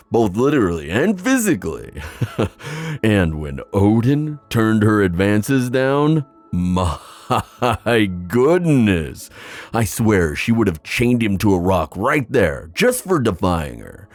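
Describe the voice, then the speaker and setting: A man playing a wistful, theatrical sea god in an ASMR fantasy roleplay, musing with hesitant pauses and drawn-out phrasing. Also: low voice, darkish tone, exaggerated voice. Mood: amused, wry.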